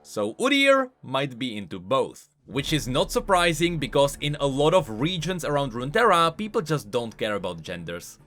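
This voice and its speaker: A man with a lively, widely swinging delivery narrates scripted game lore commentary. He explains things in an animated way at a middle pitch, with faint music behind him. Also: moderate pace, hardly any pauses.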